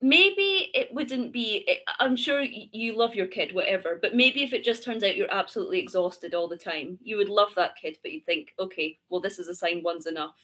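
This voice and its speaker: A woman's voice: mid-range voice, fairly quick, few pauses, bright, forceful tone. Thirty-year-old woman with a mild Scottish accent. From Scottish-English border. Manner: chatty, laughs often, self-correcting. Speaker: host. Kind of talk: casual chat.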